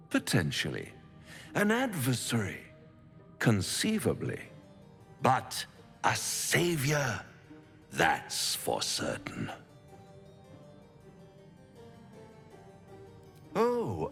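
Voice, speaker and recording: An actor playing a suave, pompous devil in a video game, teasing and menacing in a florid, silky delivery. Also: male voice, sits low, vocal fry, long reverb tail, exaggerated voice. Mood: smug, teasing. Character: suave, theatrical male devil, smug, menacing tempter. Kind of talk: theatrical video game acting.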